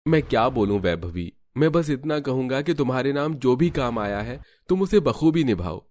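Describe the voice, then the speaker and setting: A man as a mild young husband, medium-pitched. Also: animated, normal speed, darkish tone, natural voice. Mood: puzzled, placating.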